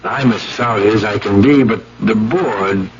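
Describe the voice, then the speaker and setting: An actor playing a placating, apologetic senior scientist who runs an institute, speaking hesitantly and tactfully in radio drama style. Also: male voice, fairly low pitch, crowd noise, close to an everyday voice. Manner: hesitant, measured, tactful delivery. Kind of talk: old-time radio drama acting. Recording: dull, noisy vintage radio broadcast.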